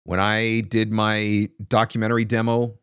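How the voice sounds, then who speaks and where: A man's voice: lowish voice, monotone, normal speed, fairly bright tone, smooth, no echo. A man in his forties talking casually and emphatically as a livestream host and coach, close on a desk microphone in a small home studio. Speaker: host, voice-over marketing coach. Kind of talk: casual livestream advice talk, answering comments.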